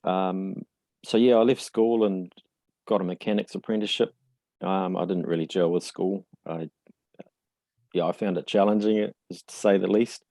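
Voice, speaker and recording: A man in his forties with a New Zealand accent, a guest speaking in a reflective, halting way with many fillers. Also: low-pitched, steady tone, creaky, dark tone, no room sound. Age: 40s. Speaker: podcast guest. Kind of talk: casual interview conversation.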